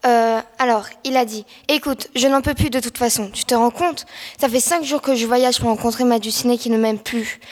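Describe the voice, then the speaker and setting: An unprepared translator in an audio drama, played by a woman. The voice is fairly high and earnest, halting as it recites, with a hall-like reverb. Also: steady tone, natural voice. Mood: hesitant, earnest. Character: hesitant bystander drafted as translator.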